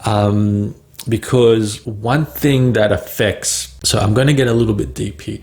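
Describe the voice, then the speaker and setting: Slow, gravelly man who stutters. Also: fairly low pitch, darkish tone. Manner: stutters with blocks and repeated words, pausing; frequent "right?" and "um" fillers. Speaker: vlogger on stuttering. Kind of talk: casual free-flowing vlog talk.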